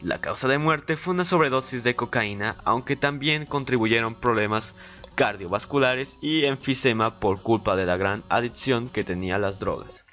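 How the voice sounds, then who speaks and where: Male guest narrator, average pitch, scripted trivia read over light music. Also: normal speed, sharp tone.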